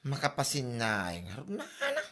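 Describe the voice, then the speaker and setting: A man's voice: medium pitch, wildly swooping pitch, normal speed, bright, forceful tone. A man acting a sulky young husband whose wife left him, complaining to his mother and friend. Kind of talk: amateur comedy drama acting.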